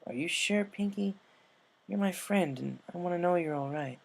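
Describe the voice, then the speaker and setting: A woman voicing a young female cartoon pony, a loyal friend full of guilt, speaking in a halting, emotional, pleading way. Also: fairly low pitch, gravelly, voice pushed up. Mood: concerned, gentle.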